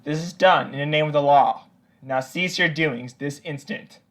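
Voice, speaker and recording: A man's voice: on the high side, moderate pace, fairly bright tone, soft background music, close to an everyday voice. A synthetic male voice playing a stern, commanding police officer with stilted delivery. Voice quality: synthetic text-to-speech voice.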